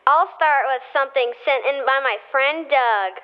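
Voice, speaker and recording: Mischievous young kid for radio play played by a female, high voice, muffled voicemail recording. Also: moderate pace, lightly acted. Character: giggly young kid sharing gross-out stories. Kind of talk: scripted radio play acting. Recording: dull lo-fi voicemail.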